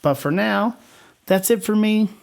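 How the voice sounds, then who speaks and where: A young man hosting his own channel, talking casually and upbeat about upcoming series, with a slightly hoarse voice and many fillers. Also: high-pitched, measured pace. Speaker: video host. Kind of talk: casual enthusiastic fan commentary.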